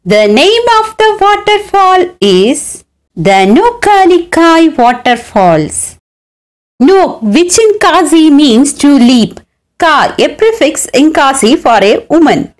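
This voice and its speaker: A woman's voice: high-pitched, big pitch swings, slow. A woman hosting her channel, telling a story as a scripted voice-over in a dramatic storyteller's way. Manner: dramatic, swooping storyteller's delivery. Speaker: channel host and narrator.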